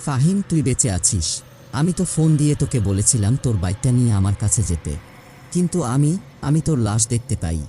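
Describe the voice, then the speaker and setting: A man's voice: medium pitch, sing-song, fast talker, dark tone, slight background noise, lightly acted. A man playing a worried older brother in a horror cartoon, with music and effects underneath. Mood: shaken. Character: anxious older brother stranded alone at night. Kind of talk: dubbed cartoon horror dialogue.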